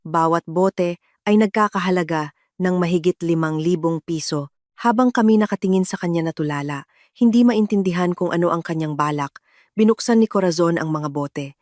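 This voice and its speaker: A woman narrates a dramatic story in the first person. Her voice sits a little low, and the sound is dry, with no room around it. The delivery is scripted storytelling. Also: moderate pace, a little mellow, dry recording.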